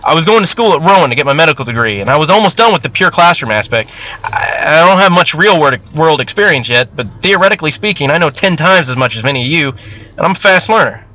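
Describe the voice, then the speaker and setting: A man's voice: on the high side, lively intonation, fast, sharp tone, low-quality recording. A man voicing an earnest, candid young medical student and survivor in an audiobook story, speaking a little hesitantly. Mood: eager, pleading.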